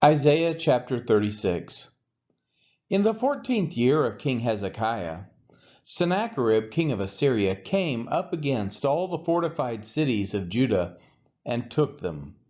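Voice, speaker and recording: A man reads scripture aloud for a church with measured, expressive delivery. His pitch moves a lot from phrase to phrase. The recording sounds dull and lo-fi. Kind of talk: scripted Bible reading.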